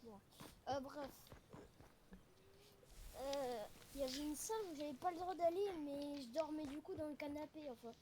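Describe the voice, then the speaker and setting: A woman's voice: high voice, normal speed, long pauses, warm tone, lightly acted. A woman playing a reluctant, blunt young survivor in an improvised amateur zombie drama, often repeating words, on a noisy homemade recording. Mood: uncertain, searching. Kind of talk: improvised amateur role-play acting.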